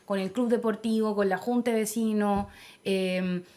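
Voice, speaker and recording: A woman's voice: medium pitch, fairly even intonation, moderate pace, warm tone. A young adult woman with a Chilean accent, giving reflective opinions in a conversational interview. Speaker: interview guest, political activist.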